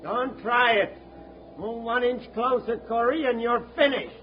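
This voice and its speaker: A man's voice: very high-pitched, measured pace, slight background noise. A man playing a defiant, gun-wielding space villain in a 1950s radio adventure, threatening and taunting. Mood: menacing, defiant. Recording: muffled 1950s radio recording.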